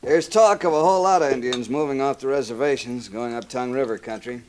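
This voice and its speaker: A man's voice: mid-range voice, fast talker, a little mellow, a little hiss or hum, close to an everyday voice. An actor playing a laid-back American frontier newspaperman in a radio western, casual, terse and plain-spoken. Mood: wary, frank. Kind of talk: old-time radio western acting.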